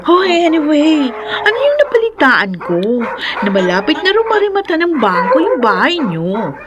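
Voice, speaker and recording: Brazen, high-pitched Filipina mistress. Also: female voice, wildly swooping pitch, moderate pace, highs cut off, heavy background noise. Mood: brazen, needling. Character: brazen, flirtatious mistress, haughty and needling. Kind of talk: radio drama acting.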